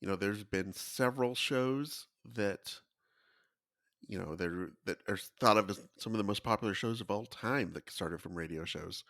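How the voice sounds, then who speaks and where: A man's voice: on the low side, moderate pace, fairly bright tone, no echo, clean studio sound. A man giving explanatory podcast commentary in a conversational style, as a panelist.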